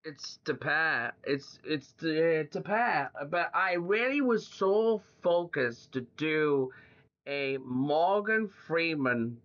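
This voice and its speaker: Rambling young man, bright tense voice. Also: fairly high pitch, lively intonation, takes it slowly. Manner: rambling, full of fillers and "like". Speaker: podcast host. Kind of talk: casual chat. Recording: dull lo-fi audio.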